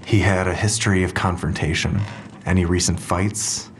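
Patient police detective, deep voice, formal and restrained, audio drama. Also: male voice, steady tone, moderate pace, a little hiss or hum. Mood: procedural. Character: sober, patient male police detective questioning a witness. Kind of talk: full-cast audio drama acting.

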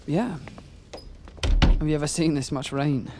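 An actress playing a worried, exasperated friend in an audio drama, insistent and wry. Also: female voice, low-pitched, measured pace, background noise.

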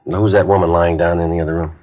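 Guy playing a terse deadpan cop, real low voice, muffled old radio recording. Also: very fast, lightly acted. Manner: clipped, flat questioning. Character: curt, methodical male police sergeant. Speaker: police detective and narrator. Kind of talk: 1950s radio crime drama. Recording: dull lo-fi 1950s radio recording.

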